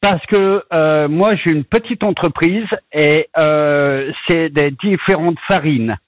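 A man's voice: a little high, dry recording. Middle-aged french guy with a bit of yorkshire in his accent, calling in, lots of euhs, sounds thin and phone-like, dry. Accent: French with a slight Yorkshire tinge. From northern France. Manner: halting, many fillers and restarts. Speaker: caller, baker abroad. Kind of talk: phone-in chat on radio. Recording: tinny phone line, dry.

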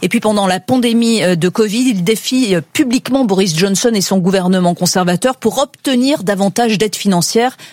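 A woman reading a scripted news portrait on the radio, in a clear, measured way. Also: mid-range voice, a little mellow, no room sound, clean studio sound. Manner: clear, measured, narrative delivery. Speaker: radio reporter.

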